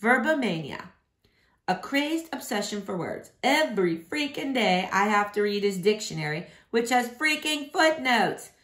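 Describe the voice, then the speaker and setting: A woman's voice: medium pitch, big pitch swings, measured pace, a bit bright. Woman reading a verse novel aloud. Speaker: read-aloud reader.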